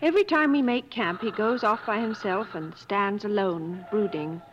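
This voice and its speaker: An actress playing a proud, headstrong English wife on an expedition, haughty and clipped, in 1950s radio drama style. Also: female voice, medium pitch, normal speed, natural voice. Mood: wondering. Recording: muffled, noisy old radio broadcast.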